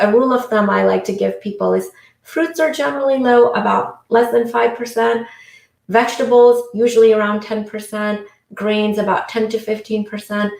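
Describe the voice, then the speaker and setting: A woman from India, a physician guest on a talk show, explaining things in an upbeat way. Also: medium pitch. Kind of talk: interview answers. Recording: echoey room sound.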